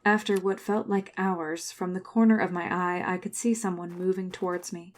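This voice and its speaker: An actress playing a guarded, wounded woman who narrates her own story in a measured, confessional audio drama style. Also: lowish voice, steady tone, lightly acted. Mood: subdued, reflective. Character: Florence, guarded, wounded woman recalling her religious school childhood. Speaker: narrator and lead character. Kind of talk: first-person scripted drama narration.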